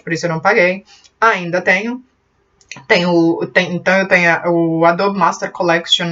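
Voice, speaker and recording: A woman's voice: on the low side, fairly bright tone, far from the mic. A woman with a mixed Brazilian and European Portuguese accent, hosting a beginners' computer channel. She talks in a chatty, encouraging way, full of fillers and restarts. The voice sits a little back from the mic in a dull, lo-fi home recording. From born in Brazil, raised in Portugal. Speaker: computer tutorial channel host. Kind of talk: casual talk to camera about her channel.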